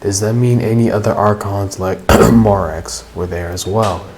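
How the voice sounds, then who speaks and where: A woman's voice: very deep voice, steady tone, a little slow, a little mellow. A woman giving casual, slangy, jokey commentary while playing through a game. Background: game music.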